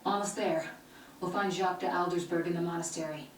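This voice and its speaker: Casting: actress as teasing game sidekick, lowish voice, rapid delivery, heard from a distance. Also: female voice, fairly even intonation, a little hiss or hum, natural voice. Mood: brisk, teasing. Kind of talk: video game cutscene acting. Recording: roomy distant game playback with music.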